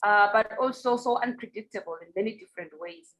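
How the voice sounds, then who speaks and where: A South African woman talks about her work as a documentary director. She speaks in a reflective, halting way and fills her pauses with plenty of "um"s. Her voice sits at a middle pitch. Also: fairly bright tone, rough recording. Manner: reflective, with many pauses and fillers. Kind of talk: online festival Q&A.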